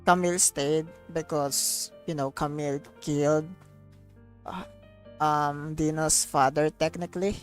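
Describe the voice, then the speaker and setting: A woman giving casual live commentary on a game story, halting and repeating herself as she searches for words. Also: sits low, fairly even intonation, soft background music. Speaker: streamer reacting to a game story.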